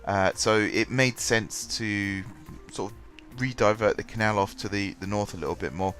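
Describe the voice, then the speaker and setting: A man talking over his gameplay, sounding bunged up from a cold. His voice sits fairly low, and he rambles through the commentary with plenty of ums and self-corrections. Soft music plays quietly in the background. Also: normal speed. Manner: rambling, many ums and restarts. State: congested with a cold, stuffy voice. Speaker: gaming channel host. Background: faint background music.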